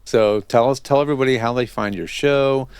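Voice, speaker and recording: A man's voice: mid-range voice, fairly quick, clear, resonant tone. A man hosting a casual interview, chatting in an easygoing, joking way. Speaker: interview host and audio consultant.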